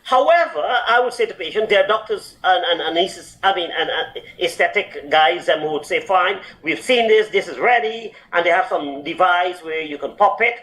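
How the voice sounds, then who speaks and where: A man's voice: high voice, lively intonation, normal speed, hardly any pauses, fairly bright tone, rough recording. A man who is a doctor, explaining health advice on a talk show with lots of fillers and restarts. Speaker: doctor answering health questions. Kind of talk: medical advice chat.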